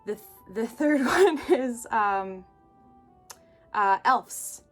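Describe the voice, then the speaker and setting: A woman's voice: fairly high pitch, lively intonation, extremely slow, fairly bright tone, faint music behind. A woman player retelling advice, hesitant and searching for words with many restarts. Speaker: tabletop game player.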